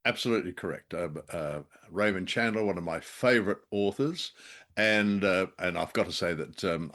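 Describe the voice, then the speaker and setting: Low-voiced mature man, wry podcast co-host. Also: a bit bright. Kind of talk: casual discussion of old-time radio drama.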